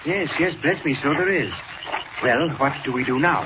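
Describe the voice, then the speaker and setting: An actor playing a fussy, self-important older gentleman, blustery and excitable, in 1940s radio drama style. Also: fairly high pitch, normal speed, natural voice. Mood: puzzled, fussy. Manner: blustery, old-fashioned exclamations. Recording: muffled, noisy old radio recording.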